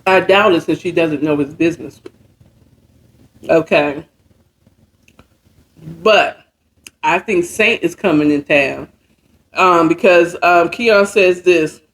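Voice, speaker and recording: High-pitched American man, unhurried storyteller. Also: fairly bright tone. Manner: conversational, slangy, checks in with rhetorical questions; acts out characters' dialogue. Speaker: host retelling and reacting to a story. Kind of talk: informal storytelling recap with commentary.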